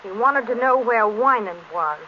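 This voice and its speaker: An actress playing a cool, shrewd, guarded secretary in an old radio crime drama. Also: female voice, a little high, animated, moderate pace, natural voice. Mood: cool, commanding. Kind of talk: 1930s radio drama acting. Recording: dull, faintly noisy old radio recording.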